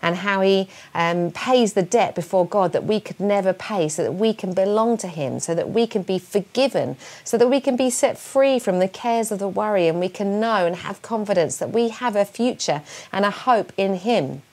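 A woman's voice: medium pitch, normal speed, darkish tone. A woman in her forties giving an earnest, encouraging devotional talk and prayer to young people, recorded on a lapel mic in a small room. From England. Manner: earnest, encouraging, speaks with open hand gestures. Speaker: speaker giving a keynote talk. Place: small room at home, indoors.

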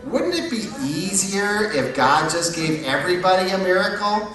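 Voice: medium pitch, very animated, moderate pace, fairly bright tone. A performer voicing an eager, goofy puppet co-host with comic, exaggerated reactions in scripted show dialogue. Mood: earnest. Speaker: co-host of a question-and-answer show. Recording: echoey hall, distant, audience noise.

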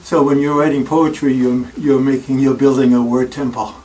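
An older man with a Danish accent speaks at a middle pitch, picked up from across the room with a faint hiss underneath. He talks in a thoughtful, wry way, stops to pause, and makes fun of himself now and then. He sounds like a lecturer talking to a small audience in a library. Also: flat delivery, a little mellow. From Denmark. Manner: thoughtful and wry, with pauses and self-deprecating asides. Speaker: speaker giving a library talk. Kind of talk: reflective public lecture. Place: wood-paneled library room. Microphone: far camera. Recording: distant room recording with faint noise.

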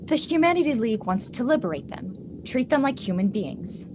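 A woman's voice: on the high side, sing-song, normal speed. A woman acting an earnest, compassionate young idealist in a radio drama, questioning as she speaks. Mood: troubled. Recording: clearly noisy live drama recording.

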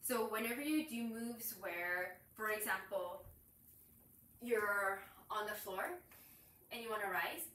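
A woman's voice: mid-range voice, takes it slowly, pushed and bright, a little hiss or hum. A young woman teaching yoga, explaining breath and cueing poses while she demonstrates them. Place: small room with curtains and yoga mat, indoors. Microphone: far camera. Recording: echoey room, far from mic.

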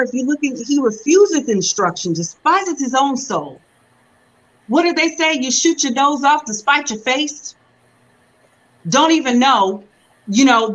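A young woman preaching a sermon over a video call from home, reading scripture slowly and then explaining it in relaxed preaching talk. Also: high-pitched, highs cut off. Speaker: reverend preaching. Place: room at home. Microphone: video call webcam.

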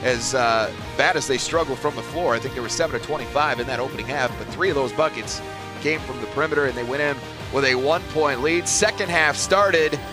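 A man in his fifties, a play-by-play announcer giving a postgame recap from a courtside desk in an arena, speaking into a headset mic in a steady broadcaster delivery. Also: medium pitch, fairly quick, over music.

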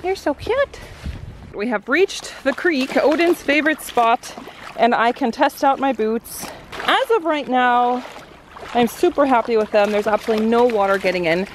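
A woman's voice: on the high side, animated, moderate pace. Chatty woman vlogger in noisy surroundings. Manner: informal, chatty, frequent "like". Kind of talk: casual vlog chat. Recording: clearly noisy, car and outdoor ambience.

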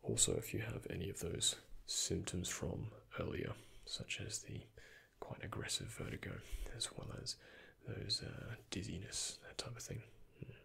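A young man speaking in a breathy, hushed ASMR whisper, gently and unhurriedly, close to the microphone as in a doctor roleplay. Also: low voice, very animated, moderate pace, hardly any pauses. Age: young adult. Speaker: ASMR creator playing a doctor. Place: indoors, close before a dark backdrop.

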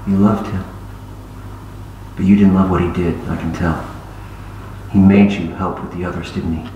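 An actor playing a calm adult investigator who gently coaxes a young witness. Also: male voice, low-pitched, normal speed, highs cut off, close to an everyday voice. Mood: coaxing. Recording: echoey, distant, noisy.

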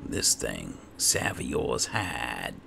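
A man with a gravelly voice giving casual, low-key commentary while playing a fantasy game, musing aloud as he goes. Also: very animated, very slow, noisy background. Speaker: gaming host. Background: game ambience.

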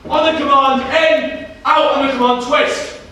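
A man playing a gruff army drill sergeant who barks orders and insults recruits, performing live before an audience. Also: very high voice, fairly even intonation, a little slow, noisy background. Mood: barking. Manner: barking orders, insulting, shouting. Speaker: reenactment performer. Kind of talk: live historical drill demonstration. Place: large indoor hall. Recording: echoey hall from far off, crowd.

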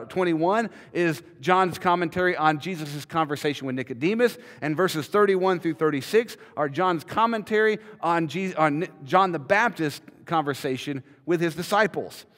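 A man's voice: fairly high pitch, normal speed, hardly any pauses, a bit bright. A man in his thirties preaching a sermon from a church pulpit, emphatic and repeating words as he builds each point. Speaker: pastor. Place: large church sanctuary, indoors. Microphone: podium mic, filmed from a far camera. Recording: long hall reverb.

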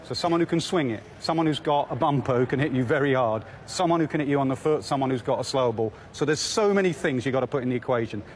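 Male commentator; fast, emphatic, slightly high; faint ambience. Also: slight background noise. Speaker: commentator, pundit. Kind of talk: studio punditry.

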